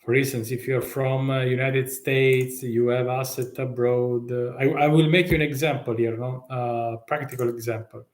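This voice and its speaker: A man with an Italian accent, a tax consultant talking live to viewers in halting, restart-filled English with rhetorical questions. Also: mid-range voice, fairly even intonation, echoey room. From Italy. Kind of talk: live stream talk.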